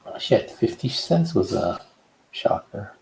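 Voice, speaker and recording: A middle-aged man with a low, mellow voice and a lot of gravelly creak. He chats in a casual, informal way. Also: sing-song, slow, slight background noise, rough recording. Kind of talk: casual chat.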